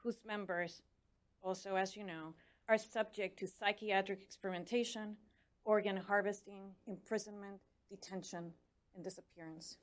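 A woman's voice: medium pitch, fairly even intonation, moderate pace. A woman whose first language is Spanish, speaking with a Spanish accent as she gives a prepared speech as a commissioner, earnest and urging. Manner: earnest, urging, stumbles over foreign names. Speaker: commissioner on religious freedom.

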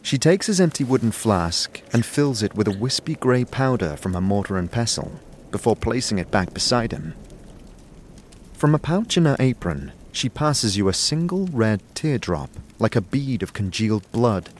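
A man's voice: medium pitch, very animated, normal speed, slight background noise. A man narrating a scripted second-person story for an audio drama.

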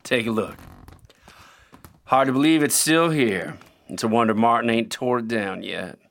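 Cocky, brooding Southern rogue. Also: male voice, medium pitch, measured pace, creaky, a bit bright. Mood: wistful, eerie. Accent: American South. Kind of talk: old-time radio drama acting.

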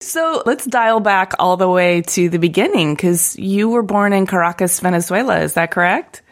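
A woman's voice: medium pitch, lively intonation, normal speed. A woman hosting a design podcast, reading a promo and asking interview questions in an upbeat, friendly way. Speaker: podcast host. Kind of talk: host read and interview.

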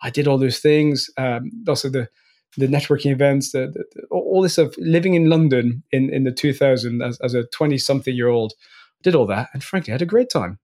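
A man's voice: mid-range voice, a little fast. Interview guest: man, forties, from France, blended French and Northern Irish accent, easygoing, repeats words as he thinks. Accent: mixed French and Northern Irish. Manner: relaxed, frequent restarts and small laughs. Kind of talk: casual interview chat.

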